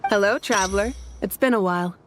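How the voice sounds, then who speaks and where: A woman's voice: medium pitch, fairly quick, slight background noise, close to an everyday voice. A woman voicing a cool, composed adult warrior in a video game. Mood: calm. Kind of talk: scripted video game dialogue.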